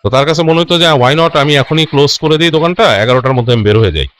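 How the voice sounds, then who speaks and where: A man hosting and telling a listener's ghost story in an unscripted, conversational way, halting and rephrasing as he goes and mixing in English words. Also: a little high, fairly bright tone. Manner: halting, self-correcting, repeats and rephrases phrases as he goes; mixes English words into his speech. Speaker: host narrating listener-submitted ghost stories.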